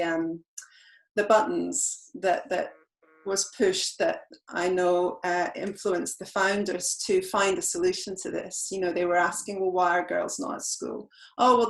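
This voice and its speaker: A British woman with a fairly low voice gives an informal talk for an education charity. She explains things in a reflective way. The sound is clearly roomy and echoing. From United Kingdom. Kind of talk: informal presentation.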